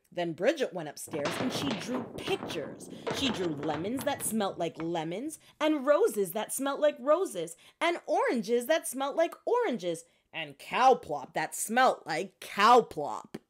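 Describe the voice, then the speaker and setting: A woman reading a children's picture book aloud in an expressive narrating style. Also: medium pitch, slow pace. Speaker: storybook reader.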